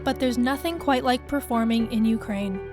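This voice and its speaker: A young woman from Canada, a dancer, reading scripted narration in a smooth, even way. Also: a little high, normal speed. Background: music.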